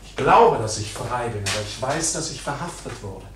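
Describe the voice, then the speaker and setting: Guy acting anxious proud clerk, polite but insistent, pitch moves around a lot, faint noise behind, radio play. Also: expressive, normal speed, natural voice. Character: anxious, proud male bank clerk under arrest.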